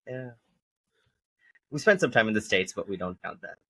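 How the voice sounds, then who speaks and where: A man's voice: lowish voice, sing-song, moderate pace, fairly bright tone, husky. A man from India talking in a casual, conversational way as a podcast host.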